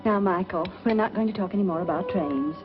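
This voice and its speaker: An actress playing a brisk, exasperated but caring mother in 1940s film style. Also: on the low side, close to an everyday voice. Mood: firm, exasperated. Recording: dull old film soundtrack with music.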